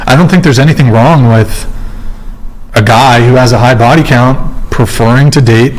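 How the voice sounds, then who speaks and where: Male livestream host, average pitch, loose rambling delivery. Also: poor audio. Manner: rambling, halting, reads chat. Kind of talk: casual stream chat.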